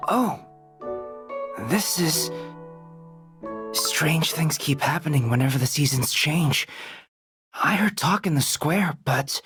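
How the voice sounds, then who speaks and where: A woman voicing a gentle, patient young gardener who teaches in a soft, kindly way in a video game. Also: low-pitched, animated, slow pace, pauses often, husky, no room sound, faint music behind, exaggerated voice. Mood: gentle musing.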